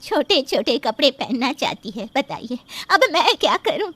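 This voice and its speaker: A woman's voice: sits high, very animated, normal speed, a bit bright, breathy edge, no echo, heavily acted. A woman playing a fretful, plaintive older mother-in-law in a cartoon story, easily upset. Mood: distressed. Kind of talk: animated cartoon story acting.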